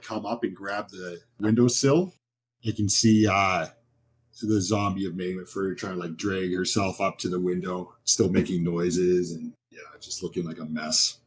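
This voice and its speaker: A man running a horror tabletop game, describing scenes informally and haltingly as game master. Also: sits low, flat delivery, slight background noise.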